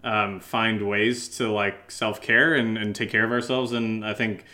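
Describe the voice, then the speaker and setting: American male co-host, lowish pitch, casual unscripted chat, quick to laugh. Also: little pitch movement, pushed and bright. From Connecticut, United States. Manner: laughs easily, loose and joking. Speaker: podcast co-host and audio drama maker.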